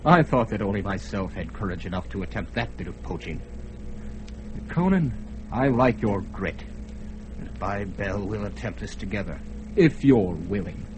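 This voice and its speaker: A man's voice: lowish voice, expressive, moderate pace, noisy background, lightly acted. A man playing Taurus, a chuckling master thief. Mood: sly. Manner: chuckling, scheming, commanding. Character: cunning male master thief, boastful and bold. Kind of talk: vintage radio drama acting.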